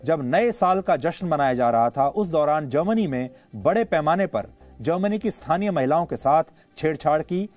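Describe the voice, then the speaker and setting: A male news anchor with an Indian accent delivering opinionated commentary in a deliberate, emphatic way with rhetorical repetition. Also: fairly high pitch, darkish tone. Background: background music.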